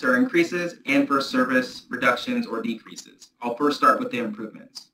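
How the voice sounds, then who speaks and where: A man presenting a technical analysis at a transit board meeting over a video call, measured and procedural. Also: mid-range voice, sharp tone, roomy sound, a little hiss or hum, low-quality recording. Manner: measured, procedural, restarts often. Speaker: transit agency staff presenter.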